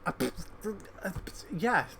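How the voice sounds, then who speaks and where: A man's voice: on the high side, very animated, slow pace, gravelly, background noise. A man giving casual, rambling commentary as a game streamer, thinking aloud and opinionated.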